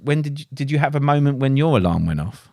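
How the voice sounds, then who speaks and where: A man co-hosting a podcast, loud and animated, asking the guest questions. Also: mid-range voice, fast talker, no room sound, studio quality. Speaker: podcast co-host. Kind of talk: casual podcast interviewing.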